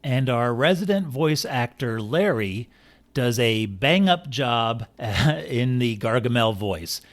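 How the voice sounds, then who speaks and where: A man's voice: medium pitch, expressive, slow, dry recording. A man speaking as a podcast host, introducing an episode in a conversational style.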